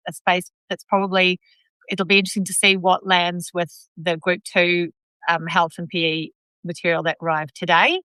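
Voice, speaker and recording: A woman's voice: medium pitch, sharp tone, clean tone, no echo, low-quality recording. A woman with a New Zealand accent hosting a live online discussion, speaking thoughtfully with hedges and trailing phrases. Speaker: host of live video.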